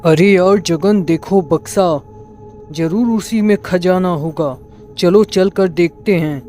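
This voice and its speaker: A performer plays a young villager out treasure hunting in a horror cartoon. The delivery is dramatic and exclamatory but slowly paced, with faint music underneath. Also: mid-range voice, warm tone, lightly acted. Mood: excited, bold. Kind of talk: Hindi cartoon horror story dialogue.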